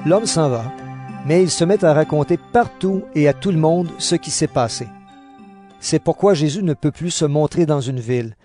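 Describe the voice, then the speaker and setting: A man's voice: a little high, normal speed, dark tone. A man reading scripted narration for a dramatized audio Bible. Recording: narration over clear background music.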